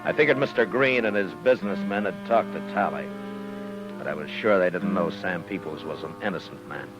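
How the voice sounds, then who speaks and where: A very low-voiced Old West marshal, acted by a man, steady and dryly wry. He sounds like a 1950s radio western lead, both talking and narrating, on an old broadcast with some music. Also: a little fast, muffled recording, natural voice. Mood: grave narration.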